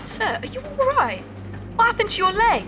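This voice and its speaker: A woman's voice: very high voice, huge pitch swings, normal speed, over music, heavily acted. A woman playing a wary, plain-spoken young street dweller of Victorian London in an audio drama. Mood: alarmed.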